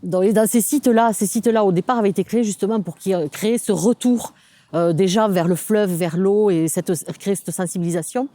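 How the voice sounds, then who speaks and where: Mellow-voiced woman explaining a local project. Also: mid-range voice, a little fast, no room sound. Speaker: local government sustainable development officer.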